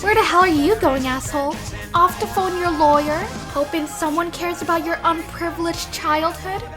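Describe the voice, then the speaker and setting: A woman's voice: very high voice, normal speed. A woman playing a cocky, foul-mouthed ten-year-old girl vigilante in a comic dub, with a taunting, bossy manner. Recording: background music under the voice.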